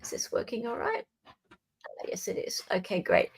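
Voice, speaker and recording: A woman hosts a casual livestream about legal matters. She speaks informally and chattily, addressing viewers directly, with a mid-range pitch. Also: wildly swooping pitch, moderate pace, creaky, husky. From Australia. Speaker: livestream host. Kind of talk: casual live legal commentary chat.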